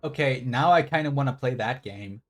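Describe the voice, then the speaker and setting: A man's voice: mid-range voice, normal speed, fairly bright tone. A man streaming a game with casual, rambling commentary, wry and casually profane. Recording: stream with game music behind.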